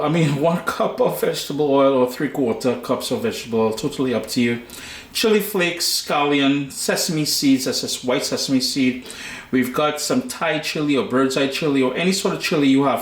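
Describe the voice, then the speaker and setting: Relaxed Trinidadian man in an echoey kitchen. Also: a little high. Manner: relaxed, chatty, slangy asides. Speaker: cooking show host. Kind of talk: casual cooking tutorial.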